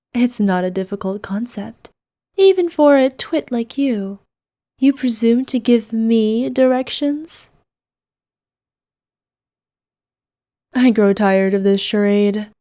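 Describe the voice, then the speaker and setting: A woman's voice: on the high side, darkish tone. A woman playing an evil, imperious queen in a scripted roleplay, speaking in a haughty, formal, commanding manner. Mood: cold, contemptuous. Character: evil imperious queen, cruel to subjects, doting on her knight. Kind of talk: scripted ASMR roleplay acting.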